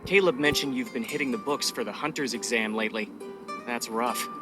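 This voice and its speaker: A man's voice: mid-range voice, fairly quick, natural voice. An actor playing a friendly, talkative young male pilot colleague, slightly awkward and eager, in a video game. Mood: encouraging. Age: young adult. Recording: game audio with music behind. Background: music.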